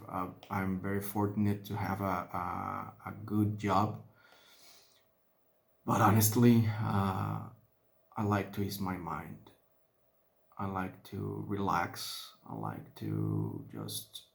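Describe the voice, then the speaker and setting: A man's voice: fairly low pitch, gravelly. A man whose first language is Spanish talks with a Spanish accent, thinking aloud with many restarts while he paints miniatures at a desk. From Mexico. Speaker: hobby vlogger. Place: indoor hobby desk.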